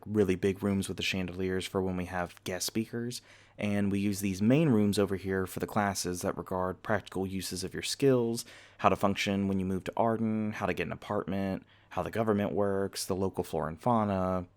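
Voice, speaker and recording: A man's voice: low voice, normal speed. A man playing a friendly, teasing vampire university student and tour guide in a casual, conversational ASMR roleplay. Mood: easygoing, informative. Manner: casual, conversational, gently joking. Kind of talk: ASMR roleplay acting, casual chat to listener.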